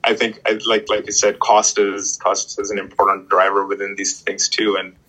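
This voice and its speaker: A man with a low, slightly husky voice talks in a relaxed interview. He stops and restarts often, filling gaps with "you know" and "uh". He grew up in Pakistan. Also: low-quality recording. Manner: halting, many restarts and fillers. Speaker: guest. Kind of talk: casual interview chat.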